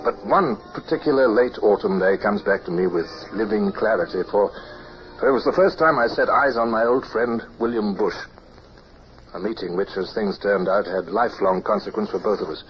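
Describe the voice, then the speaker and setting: A male actor playing a composed, dutiful British naval officer in an old radio drama, speaking in a measured, formal British manner. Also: lowish voice, soft background music, close to an everyday voice. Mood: reflective. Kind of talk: old-time radio drama acting and narration. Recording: muffled old radio recording with hiss.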